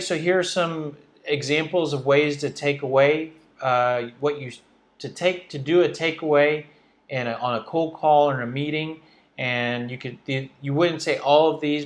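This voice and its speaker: A man with a slightly high voice delivers an instructional presentation as a trainer. He explains things step by step, sometimes halting to restart and rephrase mid-sentence. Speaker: sales trainer and webinar presenter.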